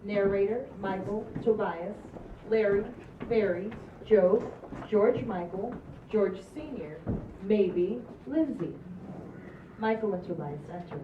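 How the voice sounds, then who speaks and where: A woman's voice: mid-range voice, slow. A woman reading stage directions as scripted narration at a table read. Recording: echoey, dull, noisy, distant room recording.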